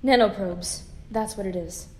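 A woman's voice: mid-range voice, moderate pace, noisy background, close to an everyday voice. A woman playing a starship officer who is firm but kind with a young crewman. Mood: calm. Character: caring, steady female starship officer. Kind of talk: audio drama acting.

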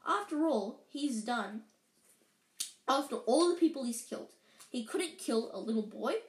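Woman playing a stern elderly lady professor, high and shrill, indignant, stammering, echoey room. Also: lively intonation, unhurried. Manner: tearful, breathless outbursts with stammering restarts. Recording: roomy echo.

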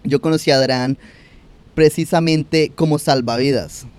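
Hombre joven anfitrión, voz algo aguda. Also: male voice, on the high side, darkish tone, smooth. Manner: chatty, quick follow-up questions. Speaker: podcast host. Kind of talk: casual interview.

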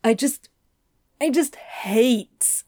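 A soft, guilt-ridden male angel on the edge of tears, as a woman voices him in a storybook reading. He stammers and trips over his words at a slow pace. The voice sits fairly high, and the sound is close and dry. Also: lively intonation, dry recording, exaggerated voice. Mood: tearful. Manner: halting, stammering, repeats words. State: near tears. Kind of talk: audiobook fan fiction reading.

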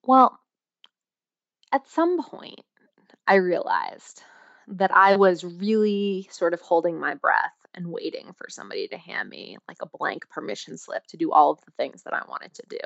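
Woman guest, coach type, lowish voice, reflective and searching, dry slightly dull recording. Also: sing-song, moderate pace, gravelly, no echo. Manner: thoughtful, searching, with frequent fillers. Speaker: guest, coach and energy healer. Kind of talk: reflective interview talk.

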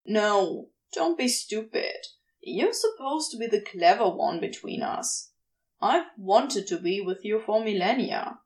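Woman acting sardonic male demon, blunt, grumbling, secretly devoted, slow delivery, audio story. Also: mid-range voice, expressive, darkish tone, poor audio. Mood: exasperated, devoted. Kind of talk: fan fiction audio reading, acted dialogue.

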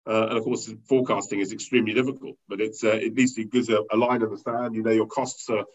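A man leading a company, talking through an interview in a rambling way with many fillers and restarts, with a loud voice. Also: fairly low pitch, little pitch movement, quick pace. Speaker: company leader interviewed as guest. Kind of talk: conversational business interview. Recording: dull lo-fi sound.

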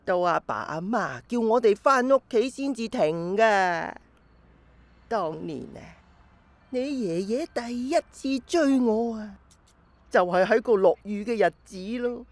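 A woman's voice: a little high, expressive, no room sound. An actress playing an elderly grandmother in a radio drama, telling stories to a small child in Hong Kong Cantonese with nostalgic, playful fondness. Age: older. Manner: slow, wistful storytelling to a small child.

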